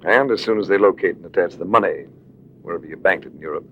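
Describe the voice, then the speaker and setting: An actor playing a shrewd, self-assured adventurer-investigator with a dry, needling manner in a late-1940s radio drama. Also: male voice, low voice, fast talker, a bit bright, dull audio. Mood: cool accusing. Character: shrewd, sardonic male adventurer-investigator accusing suspects. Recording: noisy vintage radio recording.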